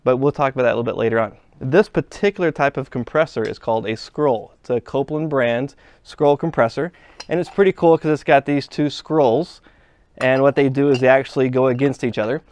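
Man, trade instructor, step-by-step demonstration, mid pitch, dry sound. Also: brisk, a little mellow, no room sound. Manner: plain, step-by-step explaining while handling parts. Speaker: HVAC instructor. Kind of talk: hands-on technical demonstration. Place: workshop bench.

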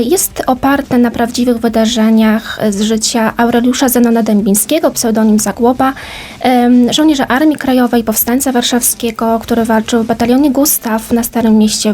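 A woman with a fairly high voice answers as an interview guest. She explains things informatively and conversationally, like an educator presenting a project. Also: a little mellow.

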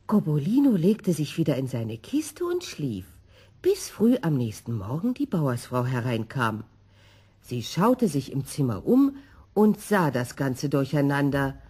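A woman reading a children's story in an expressive, scripted narrating style. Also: a bass voice, measured pace, slightly rough.

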